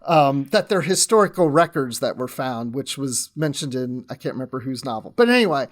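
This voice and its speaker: A man's voice: mid-range voice, very animated, a little fast. A man chatting casually as a guest on a podcast.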